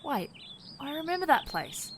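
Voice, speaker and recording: A woman's voice: high-pitched, very animated, normal speed, heavy background noise. A woman voicing a confident, friendly female animal adventurer in an audio drama.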